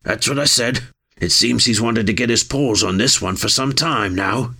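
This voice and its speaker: A male narrator voicing a rough-spoken, terse man with dry, folksy speech. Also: medium pitch, normal speed, sharp tone, full character voice. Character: gruff rough-spoken man, laconic scout.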